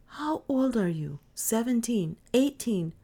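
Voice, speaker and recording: A tired, kindly mother from an old storybook, soft-spoken and wondering, her voice lifted a little higher than plain talk, as a woman reader puts her on. She sounds puzzled but warm-hearted. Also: expressive, slow pace, full character voice.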